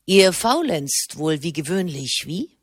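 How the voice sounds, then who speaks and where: A woman's voice: low-pitched, sing-song, slow, husky, no echo, clean studio sound, heavily acted. A woman voicing a large, aging nobleman who stays cheerful and unruffled while he teases, in a storybook reading. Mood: teasing.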